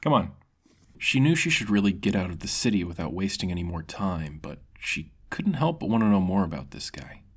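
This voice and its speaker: Low-voiced man narrating a fantasy story. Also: sing-song, brisk, few pauses, husky. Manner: dramatic storytelling with character voices.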